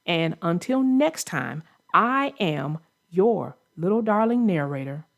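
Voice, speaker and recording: A woman's voice: fairly low pitch, lively intonation, slow pace, creaky, heavy background noise. A woman hosting a casual discussion of a children's story for young listeners, speaking in an earnest, emphatic way with a moral point. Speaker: host and children's book narrator.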